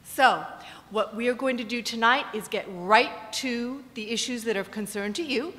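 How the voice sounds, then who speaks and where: A woman's voice: fairly high pitch, normal speed, fairly bright tone. Woman moderating a panel in a hall. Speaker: panel moderator, green building consultant. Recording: reverberant room, faint ambience.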